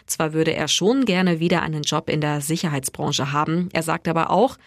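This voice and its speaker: A woman reading scripted news reports in a steady, clear way. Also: low-pitched, normal speed, dry recording, clean studio sound. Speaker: news reader.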